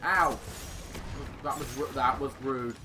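A man's voice: mid-range voice, slow pace. A man doing casual gameplay commentary, sarcastic and exclaiming with mild swearing. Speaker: gaming YouTuber.

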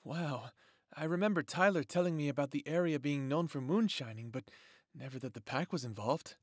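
A man's voice: fairly high pitch, brisk, no echo, clean studio sound, close to an everyday voice. A man playing an inquisitive, mildly sardonic young man in an audio drama, with a conversational delivery. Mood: curious. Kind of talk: audio roleplay drama acting.

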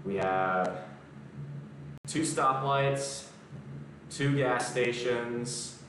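A man's voice: medium pitch, drawn-out words, background noise. A young man chatting casually on a live stream from his home gym, answering comments between exercises. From American South. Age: young adult. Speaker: fitness streamer. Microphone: camera mic at a distance. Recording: roomy garage echo, distant mic.

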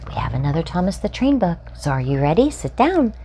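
A woman in her forties reading a children's picture book aloud, expressively and with deliberate phrasing for young children. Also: fairly low pitch, big pitch swings, warm tone, slight background noise. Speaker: storytime host reading aloud. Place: small furnished room indoors.

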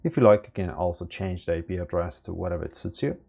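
A man in his thirties giving a relaxed step-by-step tech tutorial with lots of fillers, recorded at a desk indoors. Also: low-pitched, fast, vocal fry, breathy edge. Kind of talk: casual screen-recorded tutorial. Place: small room indoors.

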